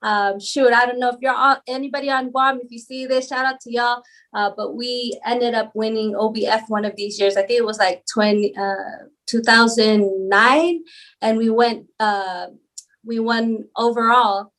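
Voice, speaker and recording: A woman's voice: on the high side, fairly even intonation, moderate pace, a bit bright. A woman from Palau, a podcast guest, talking casually and laughing often as she tells her story. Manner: rambling and laughing easily. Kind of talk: casual interview chat.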